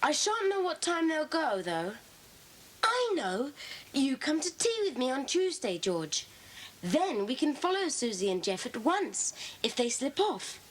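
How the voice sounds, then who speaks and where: A woman's voice: high-pitched, sing-song, unhurried, poor audio. A woman playing an earnest, determined English schoolboy with a purposeful, organising manner in an audio drama. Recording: hissy old audio cassette.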